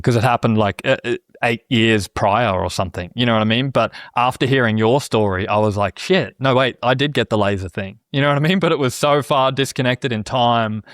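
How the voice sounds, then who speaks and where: A man's voice: medium pitch, fairly bright tone, no room sound. A man in his thirties talks through ideas on a podcast. He is earnest and a little scattered, doubling back on his own words. His voice sits mid-range and the recording is dry and close. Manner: rambling and earnest, many restarts, often says "you know what I mean". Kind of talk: casual conversation.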